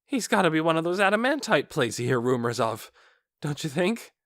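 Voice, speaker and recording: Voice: mid-range voice, sing-song, a little fast, no room sound, clean studio sound, voice pushed up. A man voicing an awestruck, shaken town guard in an audiobook, speaking in incredulous questions and exclamations. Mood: amazed.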